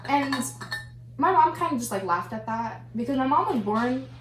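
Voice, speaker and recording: A woman's voice: high voice, expressive, normal speed, a little hiss or hum. A young woman chatting casually as a co-host, filling her talk with constant "like". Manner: chatty, filler-heavy, 'like' constantly. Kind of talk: casual chat over a meal. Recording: echoey room.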